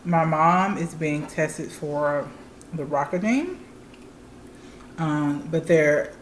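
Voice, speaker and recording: A woman's voice: deep voice, echoey room, a little hiss or hum. A woman in her thirties chatting candidly and explaining things plainly to viewers as a channel co-host. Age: 30s. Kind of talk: casual chat.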